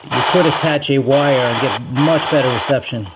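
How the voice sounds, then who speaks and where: A man's voice: medium pitch, moderate pace, heavy background noise. An American man hosting a casual product review, talking through it informally as he goes. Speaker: host of a radio review channel.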